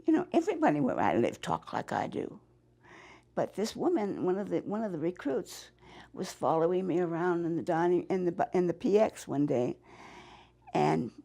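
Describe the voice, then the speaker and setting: A woman in her nineties with a New England accent, recalling her past in an interview, halting and rambling with many restarts. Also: lowish voice, creaky, warm tone. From Massachusetts. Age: 90s. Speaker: interviewee, war veteran. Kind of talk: oral history interview.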